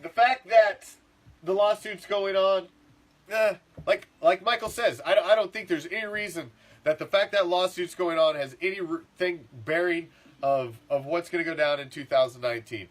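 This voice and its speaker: A middle-aged man, congested and rough-throated from the flu, ranting casually as a sports podcast host, swearing and repeating himself. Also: sits high, normal speed. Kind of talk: profane casual sports rant.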